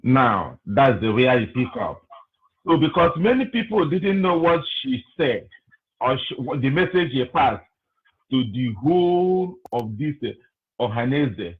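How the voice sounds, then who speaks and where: A man's voice: medium pitch, sing-song, a little slow. A male caller from Nigeria with a Nigerian accent, speaking hesitantly with many fillers as he sends greetings and makes a comment. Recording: tinny phone line.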